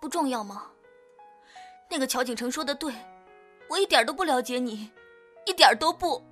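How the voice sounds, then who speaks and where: A woman's voice: high-pitched, lively intonation, brisk, a bit bright, faint music behind. A woman acting in a Chinese audio drama as a jealous, pouty, insecure young girlfriend. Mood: hurt, doubting.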